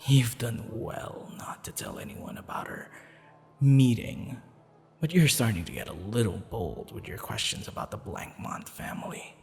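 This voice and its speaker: A smug, dangerous male assassin in love, acted by a man, murmuring intimately in an ASMR roleplay. He talks slowly with a creaky, gravelly edge, often whispering, in a long reverb. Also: medium pitch, very animated, darkish tone. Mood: warning, teasing. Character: lovestruck, menacing, smugly teasing male assassin.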